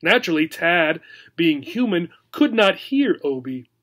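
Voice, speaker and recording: A man with a notably high voice reads a children's story aloud as a teacher. His telling is expressive and explanatory, pitched for young learners. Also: measured pace. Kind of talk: children's story read-aloud with simple recap.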